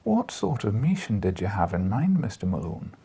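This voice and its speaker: A man's voice: on the low side, big pitch swings, moderate pace, mellow, breathy edge, exaggerated voice. A man voicing a wry, coaxing old newspaper editor with a Scottish accent in an audiobook. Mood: doubtful, discouraging. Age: older.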